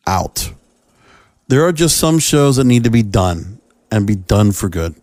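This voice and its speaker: A man's voice: on the low side, very animated, unhurried, a little mellow, dry recording, studio quality. A man hosting a solo podcast, talking casually about entertainment in a rambling, opinionated, conversational way. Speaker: podcast host.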